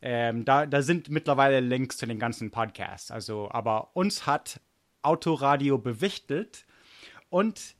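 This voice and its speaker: A man's voice: mid-range voice, animated, a little slow, no echo. American man chatting in German, dry sound. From United States. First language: English. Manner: chatty, self-deprecating, frequent restarts and fillers. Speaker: podcast co-host. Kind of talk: casual chat.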